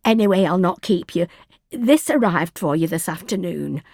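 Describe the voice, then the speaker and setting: A woman's voice: lowish voice, expressive, normal speed, creaky, darkish tone, no echo, studio quality. A woman voicing a kindly, chatty old lady neighbour with a Yorkshire accent in an audiobook. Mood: chatty concern. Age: older. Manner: chatty, homely, familiar.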